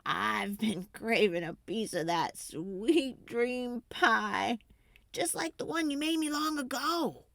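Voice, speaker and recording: A woman's voice: on the high side, animated, slow, creaky, fairly bright tone, dry recording, clean studio sound, pitched above the performer's own voice, heavily acted. A woman voicing an eager older storybook husband, pleading and coaxing for a treat. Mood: craving. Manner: coaxing, promising.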